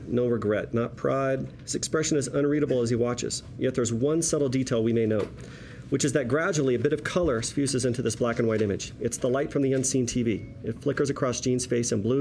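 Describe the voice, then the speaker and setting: A man reading stage directions at a table read as narrator, in a wry, deliberate storytelling manner. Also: medium pitch, darkish tone, a little hiss or hum.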